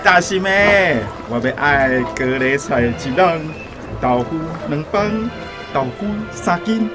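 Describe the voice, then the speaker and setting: An actor with a Taiwanese accent playing a smooth-talking, shamelessly flirting market buyer in a radio drama. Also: male voice, a little high, animated, takes it slowly, over music. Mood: flirty.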